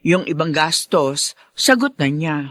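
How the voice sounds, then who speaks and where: A woman's voice: sits low, animated, a little slow, fairly bright tone, dry recording, clean studio sound. A woman playing a caring, worried middle-aged Filipino mother in a radio drama. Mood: friendly. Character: caring, worried mother of grown children, poor.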